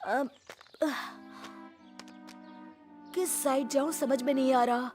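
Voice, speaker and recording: A woman's voice: sits high, fairly even intonation, takes it slowly, darkish tone, faint music behind. A woman voicing a lost, bewildered young boy in a children's cartoon dub. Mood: confused, worried.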